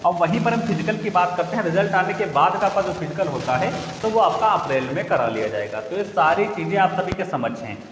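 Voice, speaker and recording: A man's voice: high voice, lively intonation, a little fast, few pauses, a little hiss or hum. A young male teacher explaining exam updates to students, repeating each point and asking the class to recall it. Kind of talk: repetitive classroom-style explanation. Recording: echoey room with long reverb, faint ambience.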